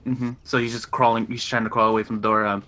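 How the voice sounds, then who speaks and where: A man with an ordinary mid-range voice takes part in a tabletop game. He talks casually and haltingly, working out his character's actions aloud. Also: medium pitch, flat delivery, sharp tone. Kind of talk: casual roleplay chat.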